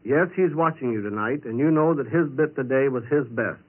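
A man's voice: mid-range voice, brisk. A man with an American accent giving a scripted patriotic wartime radio address as an official, on a tinny old recording. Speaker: government official.

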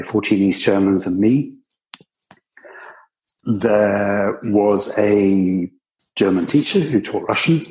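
A man's voice: lowish voice, low-quality recording. An older man with a British accent recalling his past as an interview guest, in a conversational, anecdotal way. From Britain. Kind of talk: conversational personal reminiscence.

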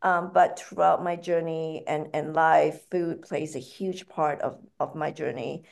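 A woman's voice: sits low, fairly even intonation, a little slow, gravelly, a little mellow. A woman from Malaysia with a Malaysian accent, speaking conversationally as an interview guest. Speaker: podcast guest, author and food company founder.

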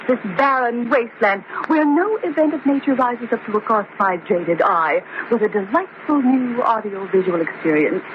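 A woman's voice: a little high, lightly acted. Swooping, petulant glamour woman played by an actress. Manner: affected, petulant, florid. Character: jaded, glamorous, complaining woman, melodramatic. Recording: dull, hissy old radio recording.

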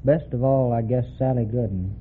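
A man's voice: medium pitch, normal speed. A man in his twenties from Texas, a quiz contestant giving polite, brief interview answers. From Texas, USA. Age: 20s. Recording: old radio broadcast, tinny, faint noise.

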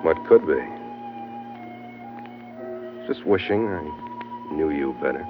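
An actor playing a tough, wry, hard-boiled sea captain in an old radio drama, terse and laconic. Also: male voice, a bass voice, expressive, slow pace, heavily acted. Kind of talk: old-time radio drama acting and narration. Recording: muffled old radio, music behind.

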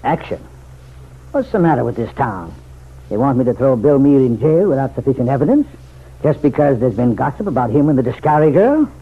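An actor in an old-time radio drama playing a gruff, weary small-town sheriff who is guarded and dryly skeptical. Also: male voice, mid-range voice, very animated, brisk. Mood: irritated, defensive. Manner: gruff, laconic, folksy. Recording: tinny, narrow vintage radio recording.

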